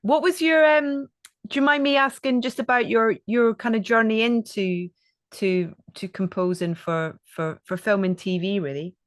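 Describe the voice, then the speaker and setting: A woman's voice: on the high side, normal speed, no room sound, clean studio sound. A woman hosting a podcast interview, speaking conversationally in an encouraging, responsive manner.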